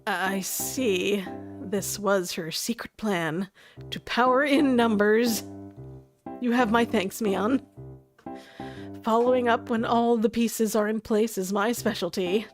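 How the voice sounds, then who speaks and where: A woman's voice: on the high side, takes it slowly, soft background music. A woman voicing an earnest, self-dramatizing teenage city boy with a wry manner in a story game. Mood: smug.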